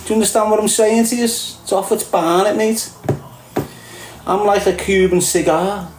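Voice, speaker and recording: A man's voice: high voice, fairly even intonation, moderate pace, reverberant, noisy background. A man with a Scouse accent ranting in a casual monologue, mocking and crude, laughing at his own jokes. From Liverpool, England. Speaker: online streamer.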